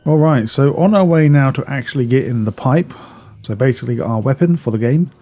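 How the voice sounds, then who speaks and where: A man's voice: mid-range voice, animated, fairly quick, mellow, slight background noise. A man narrating a video game walkthrough in a casual, instructional way, explaining step by step and often repeating or correcting himself.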